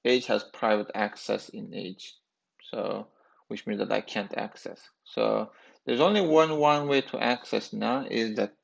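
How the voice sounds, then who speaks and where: A man in his thirties walks through code in a screencast lesson, pausing and restarting as he thinks aloud. His voice sits a bit low with a gravelly edge. The recording sounds dull and lo-fi. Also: steady tone. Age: 30s. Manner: halting, thinking aloud with fillers. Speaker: programming instructor.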